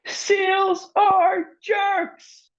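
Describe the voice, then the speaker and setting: A man putting on a hot-tempered little girl, high-pitched. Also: level tone, very slow, lo-fi sound, exaggerated voice. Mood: outraged. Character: hot-tempered, demanding young girl who adores animals. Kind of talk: animated picture book read-aloud.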